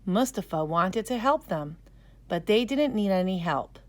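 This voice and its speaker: A woman storyteller narrating a scripted children's story in a careful, expressive way. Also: mid-range voice, measured pace.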